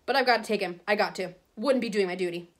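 A woman's voice: mid-range voice, quick pace, close to an everyday voice. A woman acting anxious male minister, clipped phrases, flustered, nervous official, storybook character. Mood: pressured, defensive.

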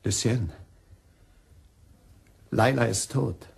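An actor playing a bitter, obsessed waiter turned killer in a crime radio play, with a gravelly, brooding, intense delivery. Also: male voice, on the low side, drawn-out words, heavily acted. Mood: numb, shaken. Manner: brooding, intense, swinging into agitation. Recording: music behind the voice.

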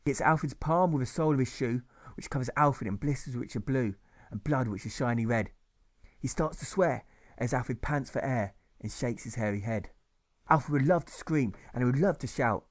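Man reading rhyming verse. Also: medium pitch. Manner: rhythmic, measured. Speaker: author reading his own novel. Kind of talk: rhyming audiobook narration.

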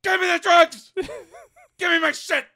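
A man plays a pompous, unhinged gentleman detective in a comic storybook reading. The voice is very high and creaky, leaping about with bombastic, foul-mouthed demands and bursts of shouting. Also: expressive, a little slow, no room sound, full character voice. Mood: frantic, furious. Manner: theatrical, bombastic, swings into shouting.

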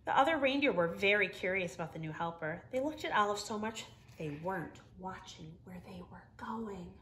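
A middle-aged woman reading a children's story aloud in an expressive, emphatic storytelling manner. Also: mid-range voice, moderate pace, slight background noise. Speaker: storybook reader. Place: living room, indoors.

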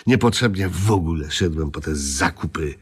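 A man's voice: low-pitched, animated, moderate pace, breathy edge, heavily acted. An actor playing a sulky, self-justifying grown man in a radio comedy, grumbling, whining and repeating himself in indignation. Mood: sulky, defensive. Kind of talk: comedic radio play acting.

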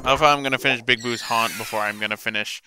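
A man's voice: mid-range voice, steady tone, fast talker. A man giving casual, playful let's play commentary, often talking to the characters in his video game. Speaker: gaming video host. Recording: game music behind the voice. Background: video game music.

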